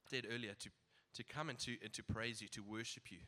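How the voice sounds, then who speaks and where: A man's voice: lowish voice, fairly even intonation, fast talker, sharp tone. A man preaching a sermon in a casual, chuckling way, his voice nasal from a blocked nose.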